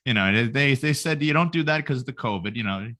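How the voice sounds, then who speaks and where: A man's voice: mid-range voice, lively intonation, quick pace, bright, forceful tone. A man co-hosting a podcast in casual chat, rambling through crude roast jokes. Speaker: podcast co-host.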